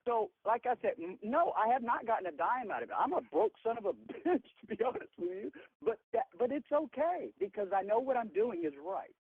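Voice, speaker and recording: Raspy, creaky woman on a phone line. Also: a little high, big pitch swings, fairly quick, few pauses, no room sound. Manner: blunt, earnest, emphatic. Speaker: marshal speaking on group call. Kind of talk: casual conference call talk. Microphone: telephone. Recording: tinny phone conference line.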